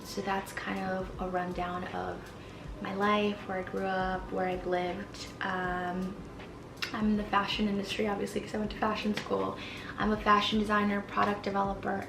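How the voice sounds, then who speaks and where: A woman's voice: medium pitch, echoey room. Young woman vlogger with Spanish as her first language, chatty and candid, recorded from a distance with noise behind. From Mexico. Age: 20s. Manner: candid, chatty, frequent fillers. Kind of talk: casual chat to camera. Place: her apartment.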